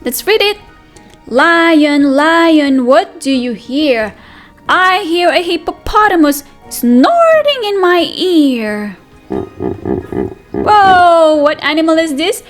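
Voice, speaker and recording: A high-voiced woman leads a children's read-aloud as a preschool teacher. Her delivery is slow and sing-song, with lots of questions and repeated phrases, and it swings widely in pitch. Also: very animated, soft background music.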